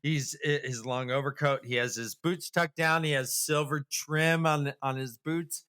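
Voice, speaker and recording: A man co-hosting a casual, comedic podcast, retelling a story in an animated, jokey and halting way. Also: on the high side, clear, resonant tone. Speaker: podcast co-host.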